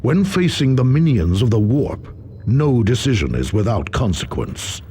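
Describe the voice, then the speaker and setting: An actor playing a brooding, cautious warrior sage in a video game, with an ominous, portentous manner. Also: male voice, mid-range voice, sing-song, measured pace, background noise. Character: brooding, cautious space marine psychic sage. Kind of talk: video game character acting.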